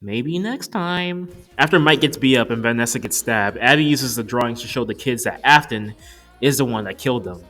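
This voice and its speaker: A man's voice: medium pitch, expressive, moderate pace, bright, forceful tone, soft background music. A man narrating a casual, joke-filled video review in a wry, profane, mock-exasperated way. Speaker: movie reviewer.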